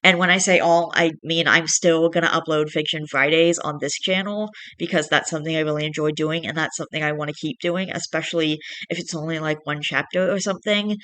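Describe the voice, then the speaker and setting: Young male channel host, raspy and dry-throated, bright and monotone, rambling chatter. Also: a little high, no room sound. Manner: rambling, self-correcting chat. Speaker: channel host reading fiction. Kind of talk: storybook reading, then casual vlog chat.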